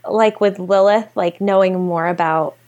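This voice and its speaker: A woman's voice: medium pitch, fairly even intonation, unhurried, a little mellow, highs cut off. Casual, self-deprecating woman storyteller. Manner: informal, self-deprecating, peppered with "totally". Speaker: guest, storyteller and fiction podcaster. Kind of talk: casual chat.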